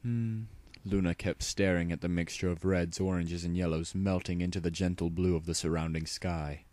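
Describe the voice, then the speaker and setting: A man with a deep voice narrates a story in a dramatic audiobook style. The recording is dry, with very little room sound around the voice. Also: flat delivery, brisk, a little mellow, no room sound.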